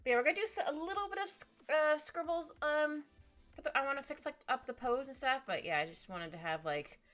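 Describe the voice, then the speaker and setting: A woman's voice: high voice, animated, brisk, fairly bright tone. A woman chatting casually on an art livestream, bubbly and giggly, rambling through asides with a slightly stuffy nose. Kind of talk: casual livestream chat while drawing. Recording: music behind the voice. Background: background music.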